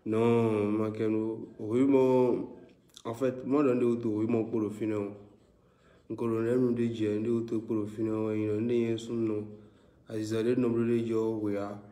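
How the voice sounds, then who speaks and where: Male live-stream host, West African French accent, stuffy with a cold, flat delivery, echoey room. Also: on the low side, measured pace. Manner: loud, repetitive greetings and shout-outs to viewers. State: congested with a cold. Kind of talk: casual live-stream shout-outs.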